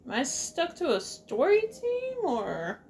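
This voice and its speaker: A woman's voice: high voice, huge pitch swings, takes it slowly, darkish tone, slight background noise. A woman giving casual let's play commentary, reacting and muttering to herself as she plays. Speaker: gaming streamer.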